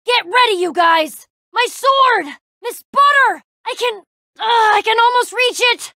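A woman's voice: very high-pitched, unhurried, bright, forceful tone, dry recording, clean studio sound, exaggerated voice. A woman playing a plucky, proud young female adventurer in a video game, with a casual, slangy, sardonic delivery. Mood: urgent.

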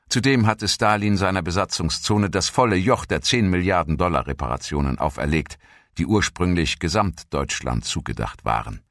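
A man reading scripted documentary narration in a measured, formal way. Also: low voice, fairly bright tone, no room sound, clean studio sound. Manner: measured, formal read delivery.

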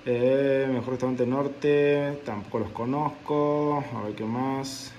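A young man talks casually into a phone held at arm's length in a small room. His pitch stays at an ordinary middle level, and his manner is offhand and chatty. A faint hiss of background noise sits under his voice. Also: steady tone, slow pace, darkish tone, lo-fi sound. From Argentina. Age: young adult. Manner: chatty, offhand, repeating that he does not know the places. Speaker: food and travel vlogger. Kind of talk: casual reaction video. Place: indoors, small room at home. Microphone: phone camera at arm's length.

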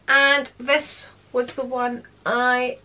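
A woman's voice: high-pitched, extremely slow. A woman in her fifties, born in London, with a Scottish accent tinged by London Greek, chatting and rambling to camera at home. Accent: Scottish with some London Greek. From London, England. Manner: chatty, rambling, expressive hand gestures. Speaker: channel host. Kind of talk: casual to-camera vlog chat. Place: home room with shelves of handbags.

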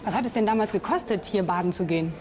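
Woman interviewer over clear music. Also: mid-range voice, quick pace. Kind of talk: casual interview questions.

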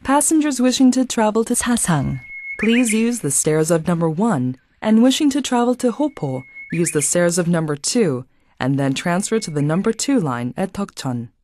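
A woman reading formal scripted subway announcements in a measured, deliberate manner. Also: on the low side, big pitch swings, darkish tone, slight background noise.

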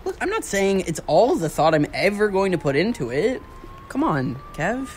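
An excitable, cocky college slacker. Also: male voice, high voice, normal speed, a little hiss or hum. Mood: pleading, playful. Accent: American. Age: young adult. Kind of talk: naturalistic audio drama dialogue.

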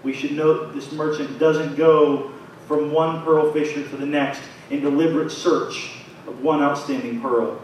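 A man in his thirties preaching a sermon in a small church, his phrases rising and falling in a measured way. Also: on the high side, little pitch movement, darkish tone. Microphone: far camera, pulpit mic. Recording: very echoey church from far off, faint noise.

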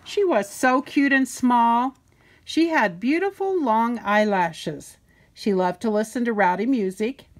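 An older woman reading a children's picture book aloud in a playful, sing-song way with deliberate emphasis. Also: a little high, expressive. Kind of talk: storybook read-aloud.

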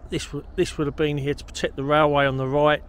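A man's voice: fairly high pitch, level tone, fast talker, clear, resonant tone. A middle-aged man, chatting casually outdoors into a handheld camera as a walking vlogger. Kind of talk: casual walk-and-talk to camera. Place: outdoors on canal paths and country trails. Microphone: handheld camera at arm's length.

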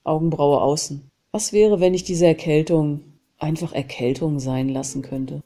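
A woman with a cold hosting a self-help channel, calmly guiding a tapping session and repeating short phrases between named tapping points. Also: sits low, normal speed. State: has a cold. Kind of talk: guided EFT tapping session.

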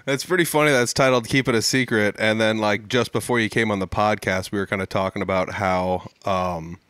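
Smooth-voiced man hosting a podcast. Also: fairly low pitch, fairly even intonation, fairly quick, fairly bright tone, no room sound. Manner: easygoing, chatty questions. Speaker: podcast host. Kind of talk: casual interview. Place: home room. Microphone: studio mic on a boom, headphones on. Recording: video call.

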